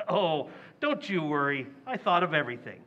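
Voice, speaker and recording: A man acts out a scheming middle-aged husband from an old-time radio comedy. His high voice is forceful and blustery, tripping over itself when flustered, on a slightly dull recording. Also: big pitch swings, unhurried, exaggerated voice. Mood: smug.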